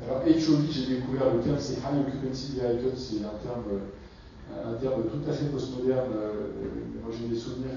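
A man's voice: mid-range voice, mellow, slight background noise. A middle-aged man giving a conference keynote, hesitating often with filler sounds as he explains his points. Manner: hesitant, many filler pauses. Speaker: keynote speaker. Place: large conference hall. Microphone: far 360 camera in the hall. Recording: echoey hall from far off, dull and lo-fi.

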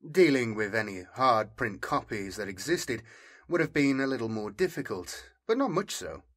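A man narrating dramatic fiction as an audiobook reader, in a measured, serious manner. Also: medium pitch, fairly bright tone.

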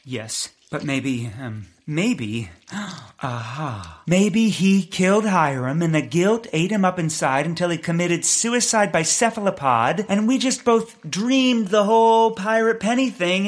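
A woman's voice: medium pitch, expressive, measured pace, natural voice. A woman playing a flustered, silly, rambling man, an amateur sleuth in a comic audio drama. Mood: speculating. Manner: hesitant, rambling.

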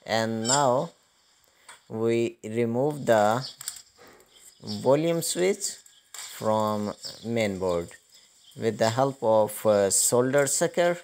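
A man hosting a hands-on electronics repair tutorial, explaining step by step in a halting manner. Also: mid-range voice. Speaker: host of electronics repair channel. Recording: clearly noisy recording with clicking.

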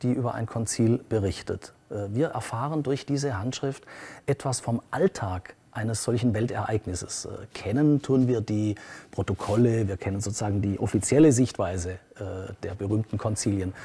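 A man, a museum director and historian answering interview questions, pausing often with fillers. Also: lowish voice, mellow, breathy edge. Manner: hesitant, frequent "äh" fillers.

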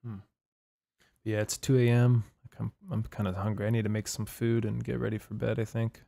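A young man, hoarse from a long session, chatting loosely with his live-stream viewers about the game and reading their chat. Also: lowish voice, little pitch movement, normal speed, mellow, no room sound, studio quality. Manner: laid-back, swears casually, reads chat aloud. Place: home room at night.

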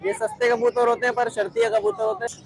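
Young male vlogger, high voice, phone held at arm's length outdoors, faint street noise behind. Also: level tone, fast talker. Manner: chatty, asks sellers prices. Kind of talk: casual walking vlog. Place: crowded outdoor bird market. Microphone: handheld phone at arm's length.

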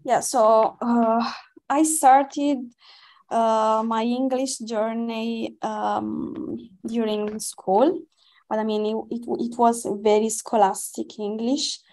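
A woman's voice: a little high, steady tone, slow, a little mellow. A young woman speaking as a guest on an online webinar, hesitant, with many restarts and fillers. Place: indoor room, video call.

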